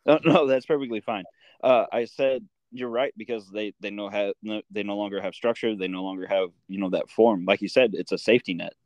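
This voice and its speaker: A man's voice: on the low side, a little fast, darkish tone, dry recording. A man hosting a podcast in an informal, meandering, casual chat style. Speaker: podcast host.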